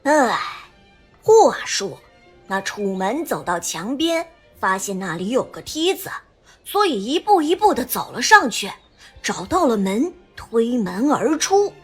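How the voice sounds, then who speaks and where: A woman's voice: a little high, big pitch swings, unhurried, faint music behind, exaggerated voice. A woman playing a precocious boy of four or five in an audio drama, with a childish voice and an old-fashioned, grown-up manner. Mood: sighing, storytelling. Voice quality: childish, slightly husky. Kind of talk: Chinese audio drama acting.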